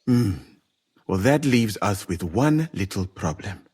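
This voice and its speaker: A man playing a grim, wry, battle-worn medieval soldier in a game, speaking deliberately. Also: on the low side, animated, darkish tone, breathy edge, exaggerated voice. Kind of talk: dramatic game cutscene acting.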